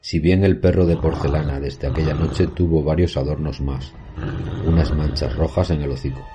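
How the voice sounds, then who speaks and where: A man playing a haunted professor, recounting a horror tale in measured, solemn, literary narration. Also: very deep voice, fairly even intonation. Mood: sombre recollection. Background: music.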